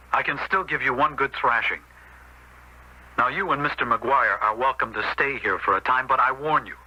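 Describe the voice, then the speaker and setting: An actor playing a stern, upright American older brother, a storekeeper, in a 1970s radio drama. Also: male voice, medium pitch, bright, forceful tone, breathy edge. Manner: stern, admonishing, firm. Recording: dull, lightly noisy old radio recording.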